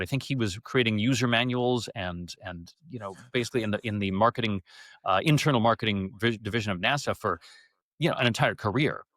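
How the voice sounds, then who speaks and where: A man speaking as an interview guest about his theater work, in casual, animated, effusive storytelling. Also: on the low side, no room sound, clean studio sound. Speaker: interview guest, theater actor and radio-theater adapter.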